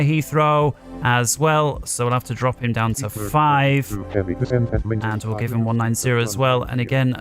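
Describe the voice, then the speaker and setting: Male gaming host, mid-pitched, casual think-aloud play commentary over music. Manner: thinking aloud while playing.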